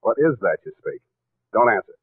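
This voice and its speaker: An actor playing a hard-boiled, wisecracking private detective in a dry, wry 1940s radio style. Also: male voice, fairly low pitch, big pitch swings, brisk, breathy edge, no echo. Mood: wry, exasperated. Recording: narrow-band 1940s radio recording.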